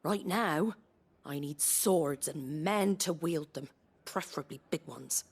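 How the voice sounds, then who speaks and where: A woman's voice: low voice, takes it slowly, breathy edge, full character voice. An actress playing a tough, blunt woman leader in a dramatic game cutscene. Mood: defiant, brisk. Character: tough, blunt tavern leader woman, guilt-ridden but defiant.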